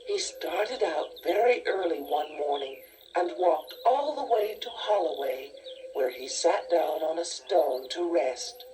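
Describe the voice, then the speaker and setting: A woman reading a scripted children's story in a measured, expressive storytelling manner. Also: deep voice. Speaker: storybook narrator. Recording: distant-sounding cassette tape transfer. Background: music.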